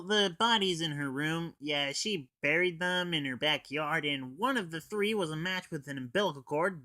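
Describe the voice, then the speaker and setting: Bright, animated teenage boy reviewing. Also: fairly high pitch, no room sound. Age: teens. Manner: informal, sweary. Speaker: anime reviewer. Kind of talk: scripted comic review, recapping a plot.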